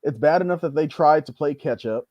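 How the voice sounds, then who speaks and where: A man's voice: on the high side, fairly quick, no echo, muffled recording. A man as a podcast guest, chatting casually in a blunt, slangy, opinionated way. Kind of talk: casual chat.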